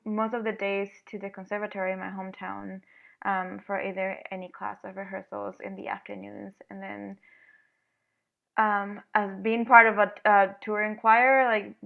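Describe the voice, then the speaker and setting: A young woman from Venezuela talks through her answers slowly, filling gaps with ums. Her voice has a gravelly fry to it. The sound is narrow and tinny, like a video call. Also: medium pitch, level tone. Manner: hesitant, many ums, soft-spoken. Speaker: guest, flute player. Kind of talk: casual interview answers.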